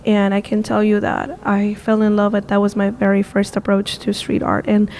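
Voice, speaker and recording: A young woman from Peru answers interview questions in English, which she learned later in life. Her delivery is eager and rambling, with frequent fillers and restarts. Her voice sits at a middle pitch and stays fairly level. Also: monotone, normal speed, vocal fry, darkish tone, a little hiss or hum. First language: Spanish. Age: 20s. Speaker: guest, street art tour guide.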